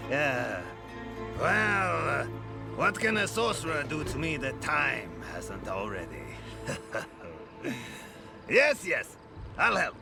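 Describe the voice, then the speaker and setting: An actor playing an eccentric, muddled, friendly old adventurer in a video game, with a creaky voice and a rambling, theatrical manner. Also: male voice, fairly high pitch, sing-song, very slow, pauses often, heavily acted. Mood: eager. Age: older. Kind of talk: dramatic video game dialogue. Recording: loud cinematic game music behind voice.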